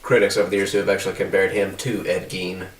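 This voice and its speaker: A man with a lowish voice discusses film casually as a podcast co-host. He sits back from the microphone in an echoey room. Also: level tone, quick pace, recorded from a distance.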